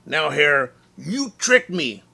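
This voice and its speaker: A man puts on a big lazy storybook bear, drawling very slowly and dimly. The voice is drowsy and gullible, with loud swings in pitch. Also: sing-song, creaky, breathy edge, full character voice. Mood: indignant. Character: very lazy, sleepy, rich male bear, slow-witted and gullible. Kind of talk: children's storybook character voice.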